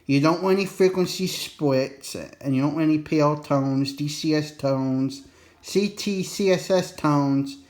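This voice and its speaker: Folksy, higher-voiced man giving cautionary advice. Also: measured pace, a little mellow. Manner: rambling, cautionary, repeats himself for emphasis; folksy, colloquial. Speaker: presenter of an instructional radio video. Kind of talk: casual unscripted explainer.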